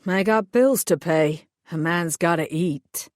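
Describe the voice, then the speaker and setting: A woman's voice: lowish voice, measured pace, dry recording, lightly acted. A woman doing a blunt, dry-voiced male thug. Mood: unfazed. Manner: matter-of-fact, blunt. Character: big gruff male hired thug, unfazed.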